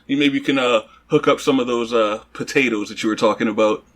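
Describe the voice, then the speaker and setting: A man's voice: mid-range voice, brisk. A man playing a tabletop roleplaying game, speaking casually and conversationally in character.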